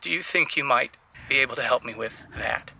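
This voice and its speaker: A man playing a pompous, florid writer calling a radio advice show, speaking in ornate phrases with theatrical pauses. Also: medium pitch, creaky, bright, forceful tone, slight background noise, low-quality recording. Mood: pompous, earnest. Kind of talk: improvised comedy radio call-in.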